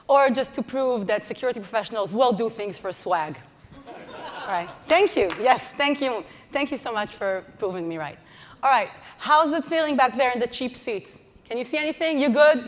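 A woman's voice: on the high side, animated, moderate pace. A woman in her thirties from Israel, with an Israeli accent, giving a playful, audience-teasing conference presentation on a large stage. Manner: playful, teasing the audience with flight-attendant jokes. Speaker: conference speaker. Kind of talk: lively keynote presentation. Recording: hall reverb.

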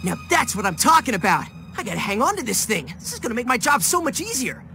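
An actor voicing a brash, excitable teenage boy hero in a video game cutscene, cocky and exclaiming. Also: mid-range voice, fast, loud music behind the voice. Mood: excited.

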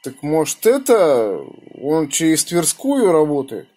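A man with a slightly husky voice, chatting casually in slangy speech and giving call signs as an amateur radio operator, recorded indoors on a phone. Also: on the high side, big pitch swings, slow, warm tone, slight background noise. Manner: colloquial, slangy. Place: small room indoors beside radio equipment. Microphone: phone held near the radio.

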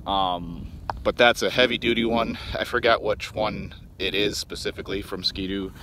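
A man's voice: on the low side, steady tone, normal speed, background noise. A man in his thirties from Wisconsin, talking casually to viewers from a phone held at arm's length outdoors on snow, rambling with many ums and restarts. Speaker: outdoors channel host. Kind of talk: casual vlog walkaround. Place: outdoors on snowy frozen lake.

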